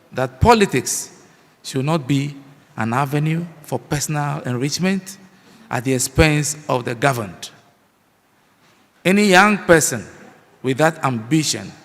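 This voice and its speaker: A man with a Ghanaian accent gives a formal address in a measured, deliberate way. His voice is gravelly and sits somewhat high. It rings with a long reverb from a large hall. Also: a bit bright. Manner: measured, formal delivery with pauses. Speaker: guest speaker.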